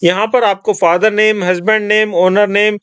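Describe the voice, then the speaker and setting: A man's voice: sits high, flat delivery, normal speed, a bit bright, smooth, dry recording. A man from Rajasthan talking viewers step by step through an online form in a screen-recorded tutorial. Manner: step-by-step instructing, addresses viewers as friends. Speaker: tutorial presenter.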